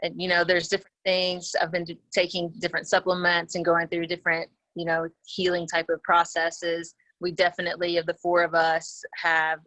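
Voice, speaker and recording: Bright-voiced, even, lowish woman on a call. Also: normal speed, few pauses, no room sound, low-quality recording. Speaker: guest participant on the call. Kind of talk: conversational, reflective account. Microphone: conference call.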